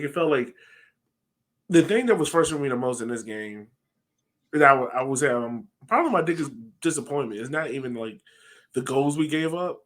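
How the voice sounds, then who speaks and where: A man's voice: on the high side, a bit bright. A man in his thirties talking casually through sports analysis as a podcast co-host on a video call from a room at home.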